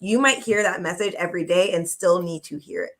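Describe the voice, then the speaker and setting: A woman speaking in a heartfelt, measured way on a panel discussion, slowing down to stress her points. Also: fairly low pitch. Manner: heartfelt, measured, drawn-out emphasis.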